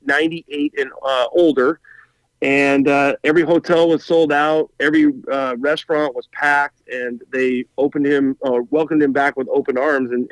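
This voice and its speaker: A man talking casually and at length on a podcast, with a somewhat high voice. He rambles eagerly and stumbles over his starts, often repeating words. The recording is close and dry. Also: no room sound, rough recording. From Montana. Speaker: guest, firefighter and truck podcaster.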